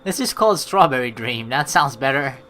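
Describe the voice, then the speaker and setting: A man's voice: medium pitch, animated, a little fast, sharp tone. A man hosting a let's play, reading game text aloud and reacting casually and animatedly, with free swearing.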